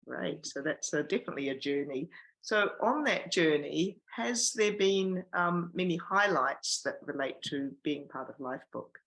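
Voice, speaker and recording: Bright-voiced woman hosting an interview. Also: sits low, expressive, a little slow, gravelly, poor audio. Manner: hesitant, searching for words. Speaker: interviewer and workshop host. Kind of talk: casual interview.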